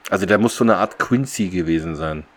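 A man's voice: sits low, brisk, fairly bright tone, slight background noise. A man co-hosting a podcast, talking through a story in a casual, explanatory, conversational way. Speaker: podcast co-host. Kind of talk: casual discussion of an audio drama's plot.

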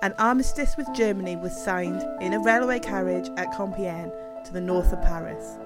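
A woman giving solemn, measured scripted narration for a radio play. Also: on the low side, steady tone, natural voice. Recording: loud piano music under voice. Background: piano music.

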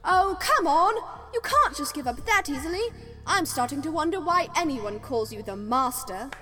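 A woman's voice: high-pitched, animated, normal speed, music in the background. A woman playing a plucky, inquisitive young journalist with a cheeky streak in an audio drama. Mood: indignant. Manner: inquisitive, teasing, outspoken.

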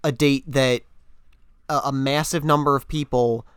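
A man's voice: medium pitch, fairly even intonation, measured pace, a bit bright, smooth, dry recording, clean studio sound. A young adult man co-hosting a casual podcast, a little sick, talking things through earnestly with frequent restarts. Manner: earnest, thinking aloud with restarts. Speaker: podcast host.

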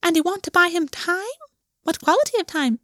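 Shrill chirping paper bird, taunting and riddling, dry close sound. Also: female voice, brisk, a bit bright, no echo.

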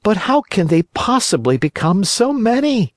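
A storybook reader voicing a naive, excitable wooden puppet boy, full of wonder. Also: mid-range voice, normal speed, no room sound, clean studio sound, voice pushed up, lightly acted. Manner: wondering, eager.

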